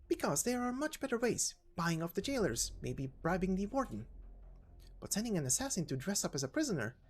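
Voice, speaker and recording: A man's voice: sits high, sing-song, fairly quick. A man voicing a chatty, breezy, teasing informant in a game story reading, speaking casually with rhetorical questions.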